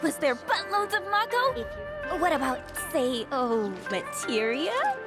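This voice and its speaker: A woman voicing an eager, greedy young treasure-hunting adventurer in a video game, speaking in slangy, animated bursts over music. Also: high-pitched, slow, heavily acted. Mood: eager curiosity. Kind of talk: video game cutscene acting. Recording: loud music behind the voice.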